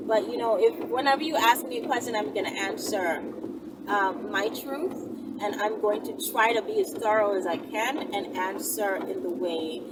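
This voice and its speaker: A woman hosting an online video, talking casually and off the cuff in an earnest, rambling, conversational way. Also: fairly high pitch. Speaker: online video host answering viewer questions. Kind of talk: casual unscripted opinion talk to camera. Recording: distant mic, clearly noisy background.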